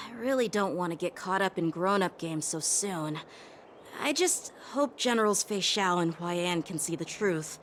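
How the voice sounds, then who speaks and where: A woman's voice: fairly low pitch, animated, a little slow, slight background noise. A woman voicing a polite, earnest, self-doubting teenage boy swordsman in an anime-style video game. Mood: weary concern.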